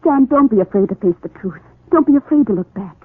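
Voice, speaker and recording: Lovelorn woman, 1940s radio melodrama: husky, imploring, deliberate, on a tinny old recording. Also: fairly high pitch, expressive, no room sound. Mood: urgent, confronting. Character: lovelorn, insistent woman pleading with the man she loves. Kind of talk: 1940s radio soap opera acting.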